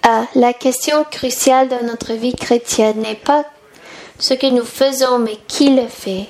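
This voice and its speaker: A woman's voice: on the high side, fairly even intonation, measured pace. A woman interpreting a sermon, delivering the preaching phrase by phrase.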